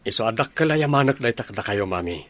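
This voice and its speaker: A man's voice: on the low side, expressive, fast talker, a bit bright. A man playing an eager, lovestruck young bridegroom and affectionate son in an Ilocano radio drama. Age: young adult.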